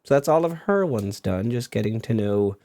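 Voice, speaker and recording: A man giving casual, self-deprecating commentary as a video creator while drawing, in a low-key way. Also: lively intonation, normal speed, mellow.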